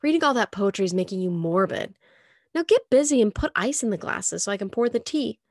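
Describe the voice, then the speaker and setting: A woman voicing a cheerful, busy middle-aged mother in an audiobook, speaking in a brisk, chirpy manner. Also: medium pitch, expressive, darkish tone, no room sound, clean studio sound. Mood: impatient.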